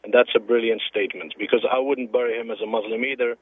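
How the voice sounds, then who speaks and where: Earnest caller on a tinny phone line. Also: male voice, mid-range voice, fairly even intonation, breathy edge, no echo. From Morocco. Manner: earnest, halting, with restarts. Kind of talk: call-in radio conversation.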